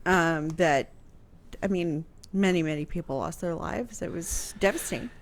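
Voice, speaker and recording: A woman's voice: fairly low pitch, normal speed. A woman explaining history in casual conversation on a radio show, piecing her sentences together hesitantly. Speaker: history show host answering questions.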